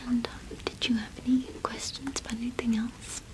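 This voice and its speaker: A young woman doing an ASMR shopkeeper roleplay in a gentle, unhurried whisper with pauses, close to the microphone. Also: on the high side, flat delivery. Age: young adult. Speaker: ASMR performer playing a cottage witch shopkeeper.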